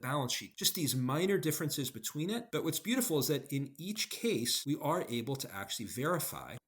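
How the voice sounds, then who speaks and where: Man presenting a demo, very lively pitch. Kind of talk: explanatory product demo, semi-scripted talk.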